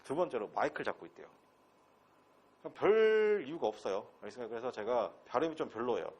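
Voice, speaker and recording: Husky lecturer with a Gyeongsang accent. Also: male voice, mid-range voice, animated, fast talker, a little mellow. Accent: Gyeongsang dialect. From Pohang, South Korea. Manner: peppers talk with rhetorical questions to students; blunt and casual, with crude slang. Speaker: English instructor at a transfer-exam academy. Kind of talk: classroom lecture.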